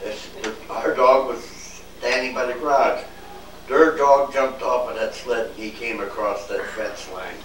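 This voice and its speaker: A man's voice: mid-range voice, fairly even intonation, measured pace. A man telling casual anecdotes in folksy, rural plain speech. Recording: distant, echoey home tape, faint noise.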